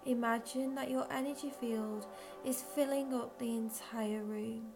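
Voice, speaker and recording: Female meditation guide, fairly high voice, very unhurried gentle delivery with long pauses, soft music bed. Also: fairly even intonation. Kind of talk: guided visualisation, slow scripted narration.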